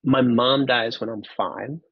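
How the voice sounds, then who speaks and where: A man, telling his personal story in an interview, candid and halting, often repeating words. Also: medium pitch, steady tone, breathy edge, dry recording, phone-quality sound. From Finland. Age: 30s. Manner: candid, casually profane. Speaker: podcast guest.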